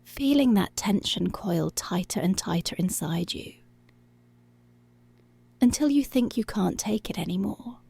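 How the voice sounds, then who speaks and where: Policewoman, played by a woman: commanding, teasing, seductive ASMR roleplay. Whispery with creaky edges, deliberate and unhurried. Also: medium pitch, animated, warm tone, no room sound, full character voice. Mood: teasing, controlling. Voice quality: breathy, whispery. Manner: slow, deliberate, controlling, drawn-out phrases.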